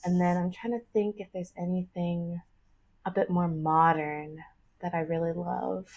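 A woman's voice: lowish voice, little pitch movement, a little slow, vocal fry, darkish tone. Chatty, enthusiastic young woman, podcast guest. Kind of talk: casual chat about anime.

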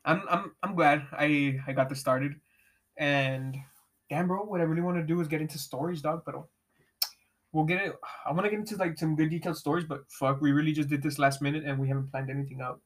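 A young man with a fairly high voice hosting a casual podcast, talking in laid-back slang, swearing freely and laughing often. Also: fairly even intonation, brisk. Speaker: podcast host. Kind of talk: casual chat with heavy swearing.

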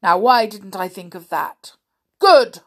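A woman voicing a huge, dim, bad-tempered male giant in a storybook, bullying and loud. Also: mid-range voice, expressive, slow pace, breathy edge, heavily acted. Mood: gleeful. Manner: roaring, thundering, muttering over sums.

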